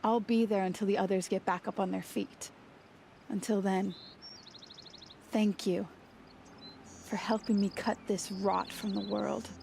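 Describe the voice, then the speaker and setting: Fierce huntress, video game: young, proud, middle register, slight background noise. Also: female voice, mid-range voice, little pitch movement, unhurried, gravelly, long pauses, dark tone. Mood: solemn, grateful.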